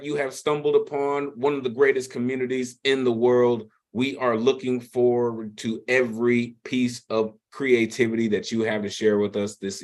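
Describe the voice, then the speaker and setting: A man hosting an online poetry open mic, effusive and emphatic, with slangy talk and repeated phrases. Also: mid-range voice. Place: online video call.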